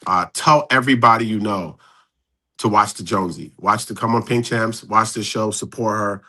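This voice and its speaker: A man's voice: lowish voice, moderate pace, pushed and bright. A man in his fifties, a guest on a live stream, casually walking through numbers step by step. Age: 50s.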